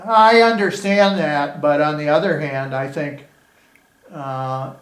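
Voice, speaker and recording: A man in his sixties from Virginia leads an oral history interview with a slightly high voice. He keeps the talk going with quick, encouraging replies. The room has a noticeable echo. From Virginia, outside Washington D.C. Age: 60s. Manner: friendly, encouraging, many short affirmations.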